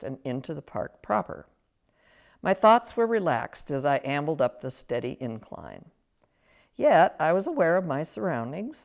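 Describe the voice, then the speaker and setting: A woman reading her own written personal story aloud, with expressive, deliberate storytelling phrasing. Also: low voice. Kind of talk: scripted personal story narration.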